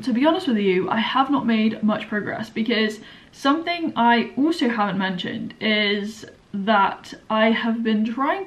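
A woman's voice: a little high, lo-fi sound. A young woman in her twenties with an English accent, chatting casually in a vlog and rambling with lots of fillers. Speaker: vlogger.

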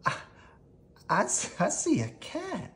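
A narrator voicing a timid, squeaky little female country mouse from a children's storybook. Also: huge pitch swings, fairly bright tone, slight background noise, full character voice. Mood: frightened. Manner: gasping, timid, drawn-out cries.

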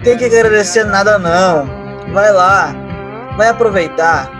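A man's voice: high voice, a little slow, music in the background. A man playing a brash, cocky young schemer in an audio drama, blunt and commanding. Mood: self-satisfied.

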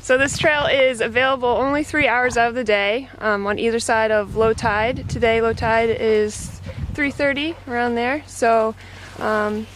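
A woman's voice: fairly high pitch, a little hiss or hum. Chatty woman narrating an outdoor hike. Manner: relaxed, chatty and practical, talks to her dog. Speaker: reporter filming a hike. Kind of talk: casual guided hike narration. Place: outdoors on a coastal trail.

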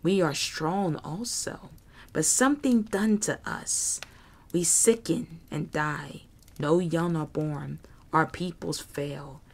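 A woman's voice: low-pitched, full character voice. Woman voicing a hissing reptilian creature. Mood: bitter. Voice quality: rasping, hissing. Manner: slow broken speech with whispered hisses. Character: hissing reptilian male creature, bitter and vengeful. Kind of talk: audiobook character voice.

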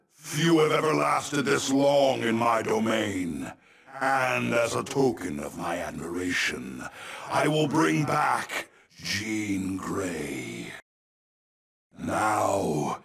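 A man playing a menacing, gloating demon lord in a video game, with a husky, gravelly voice and a grandiose, commanding, theatrical delivery. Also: mid-range voice, a bit bright, exaggerated voice. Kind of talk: video game villain acting.

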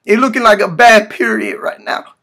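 High-pitched young man put on by a prankster, whiny, pleading, stammering, naive, frantic with pain. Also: fairly even intonation, normal speed, gravelly, bright, forceful tone, heavily acted. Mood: distressed, whiny. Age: 20s. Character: hurt, frantic, naive young man with bleeding swollen lip. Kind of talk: prank phone call. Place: small room indoors, at a desk.

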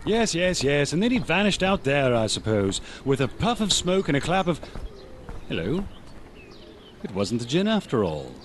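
A man's voice: a little high, very animated, moderate pace, a little hiss or hum. A man playing a dry, commanding army major investigating a crime in a radio drama. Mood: sceptical, sardonic. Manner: dry, authoritative.

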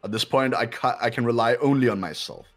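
A man's voice: mid-range voice, expressive, a little fast, faint music behind. A man voicing a cold, haughty rich teenage boy in a visual novel dub, condescending and aloof.

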